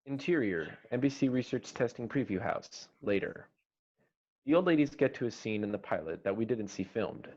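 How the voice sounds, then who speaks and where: A man's voice: on the low side, lively intonation, moderate pace, a little mellow. A man reading screenplay stage directions as narrator at an online table read. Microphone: video call. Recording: dull lo-fi online call audio.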